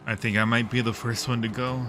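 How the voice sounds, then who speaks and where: A man's voice: mid-range voice, level tone, fairly quick, sharp tone, faint music behind. A man hosting a livestream, chatting casually with viewers while playing a game. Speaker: livestream host. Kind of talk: casual livestream gaming chat.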